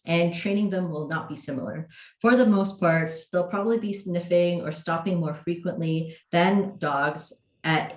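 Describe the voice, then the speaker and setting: Woman host, low voice, chatty with lots of ums, echoey room over a video call. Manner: friendly, many fillers and restarts. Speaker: host of a cat training hangout. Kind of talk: casual livestream talk. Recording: roomy echo.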